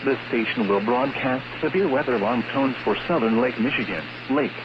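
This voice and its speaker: A man's voice: mid-range voice, a little fast. Male weather radio announcer, muffled and noisy. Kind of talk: scripted emergency weather bulletin.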